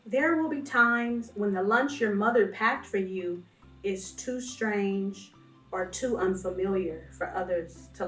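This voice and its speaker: A woman co-hosting a read-along for young children, reading a picture book aloud with expression. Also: medium pitch. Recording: roomy, distant microphone, music behind.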